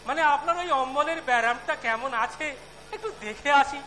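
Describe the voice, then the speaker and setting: A man acts a vain, flattering middle-aged neighbour in an amateur stage play. The voice is sharp and very high, hesitant and wheedling. There is hall reverb and a faint noise. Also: brisk. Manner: hesitant, ingratiating. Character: dandyish, wheedling middle-aged male neighbour, quick to take offence. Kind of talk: amateur stage audio-drama acting. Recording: echoing hall, faint hiss or hum.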